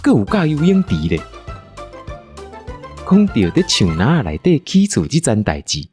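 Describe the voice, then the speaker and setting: Lively Taiwanese woman storyteller. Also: extremely low pitch, moderate pace, soft background music. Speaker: podcast host and storyteller. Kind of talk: playful scripted story narration.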